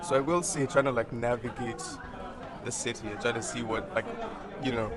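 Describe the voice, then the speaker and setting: Young man from Kenya, Swahili and English, flat delivery, restarts, crowd noise. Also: medium pitch, moderate pace. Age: young adult. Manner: rambling, restarts and likes. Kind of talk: casual interview answer. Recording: busy crowd behind.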